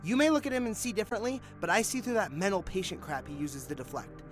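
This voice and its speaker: A man's voice: high voice, fast, faint music behind, close to an everyday voice. Man acting a hard-nosed, accusing detective. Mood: irritated, defensive. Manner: forceful, sneering, profane, insistent. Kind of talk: audio drama acting.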